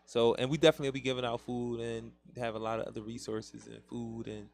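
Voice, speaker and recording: A man's voice: mid-range voice, level tone, fast talker, creaky, a little mellow. A man with a Philadelphia accent hosting a casual internet radio talk show, rambling loosely and laughing often. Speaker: podcast host.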